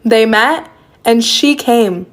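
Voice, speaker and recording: A woman's voice: fairly high pitch, slow. A young woman casually explaining and translating in a selfie video filmed on her phone. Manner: casual, explanatory, self-correcting. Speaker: content creator translating. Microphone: phone at arm's length.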